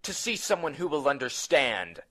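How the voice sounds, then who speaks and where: An actor playing a bitter, agitated young heir in a gothic soap audio drama, with a melodramatic, accusing delivery. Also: male voice, mid-range voice, sing-song, normal speed, noisy background, lightly acted. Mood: agitated insistence.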